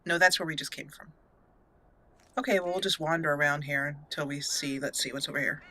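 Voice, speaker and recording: A woman giving casual, excitable commentary while playing a video game, talking to the characters as she goes. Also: deep voice, fairly quick, slight background noise. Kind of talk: casual let's play commentary.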